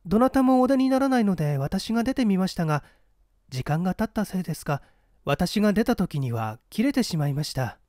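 A man voicing a high, polite young lady. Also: big pitch swings, brisk, darkish tone, dry recording, studio quality. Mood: polite, composed. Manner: courteous, refined feminine speech. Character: polite, composed young lady witness in a mystery.

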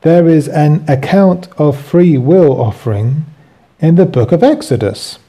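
A man reading a scripted religious lesson aloud as a teacher, in measured phrases. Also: on the high side, expressive, mellow, husky. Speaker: Bible teacher.

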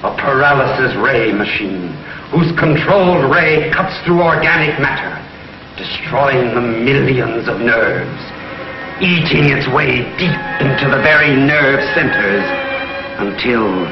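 Pompous gloating villain, echoey old soundtrack. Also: male voice, mid-range voice, lively intonation, slow, over music. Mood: cold, smug. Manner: pompous, theatrical, menacing. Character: gloating villainous alien intelligence chief, cold and grandiose. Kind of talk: 1950s television sci-fi serial acting. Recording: muffled, noisy, echoey 1950s TV soundtrack.

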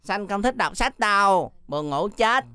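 Naive, playful cartoon little boy woman voicing, bright and clean, childlike and stubborn. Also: medium pitch, lively intonation, a little slow, clear, resonant tone, no room sound. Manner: childlike, refers to self in third person.

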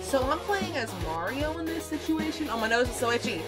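A woman with a high voice hosts a gaming video. She rambles casually and exclaims as she reacts to play, with loud game music running behind her. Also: very animated, brisk. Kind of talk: casual reaction commentary over gameplay. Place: indoors, seated at a gaming setup.

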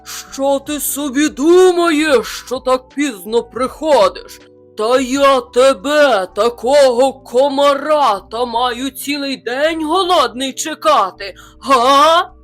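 A woman's voice: slow pace, a bit bright, faint music behind. A woman voicing a huge, furious male bear who roars threats in a storybook reading. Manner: roaring, booming threats.

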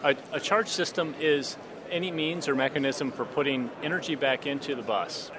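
Older man explaining charging, crowd behind. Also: a little high. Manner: plain technical explaining, halting. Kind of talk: explanatory interview.

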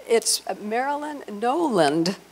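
A woman's voice: a little high, lively intonation, slow. An older woman introducing things to a live audience as an event host, on a handheld microphone. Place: hotel meeting room, indoors. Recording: faint room noise.

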